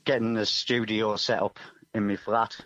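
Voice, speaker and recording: Male musician guest, lowish voice, casual and self-effacing, slightly muffled lo-fi line. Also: level tone, moderate pace, a bit bright, faint music behind. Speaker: musician guest, singer-songwriter. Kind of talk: casual interview chat.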